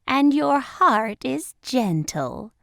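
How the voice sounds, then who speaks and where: A woman voicing a gracious fairy who solemnly and deliberately grants magical gifts in a storybook. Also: high voice, sing-song, creaky, fairly bright tone, no echo, studio quality, pitched above the performer's own voice, full character voice. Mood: gentle, solemn.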